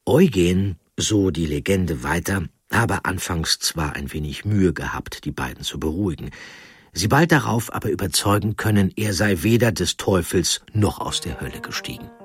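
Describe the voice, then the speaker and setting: A man narrating a historical documentary in a calm, explanatory way. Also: deep voice, moderate pace, breathy edge, no room sound, clean studio sound. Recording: narration over music.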